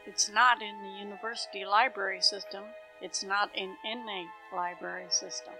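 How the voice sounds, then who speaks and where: A woman's voice: medium pitch, level tone, normal speed, loud music behind the voice, close to an everyday voice, poor audio. A woman playing a helpful, sociable university library assistant in a scripted audio drama. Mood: eager, friendly.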